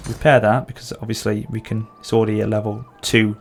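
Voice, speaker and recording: A man's voice: fairly low pitch, level tone, fast, slight background noise. A man with a sore throat and occasional cough, casually commenting as he plays a strategy game. He thinks aloud and corrects himself as he goes. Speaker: gameplay channel host.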